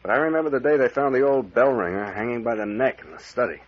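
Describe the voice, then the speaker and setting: An actor playing a brash, fearless captain in an old-time radio mystery, hard-boiled and slangy, with an American accent. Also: male voice, mid-range voice, quick pace, natural voice. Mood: wry, bold. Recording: muffled, noisy old radio recording.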